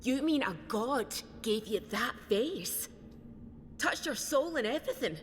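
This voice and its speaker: A woman's voice: a little high, expressive, measured pace. A woman voicing a blunt, suspicious and scornful female captive in a fantasy video game. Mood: sceptical, scornful. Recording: long reverb, noisy game ambience.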